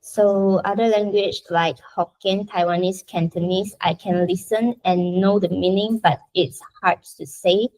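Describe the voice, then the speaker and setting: A young woman from Malaysia, a Chinese speaker, giving a chatty, halting self-introduction to her university class. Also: mid-range voice, steady tone, a little mellow, low-quality recording. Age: young adult. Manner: chatty, halting, frequent restarts. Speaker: university student presenter.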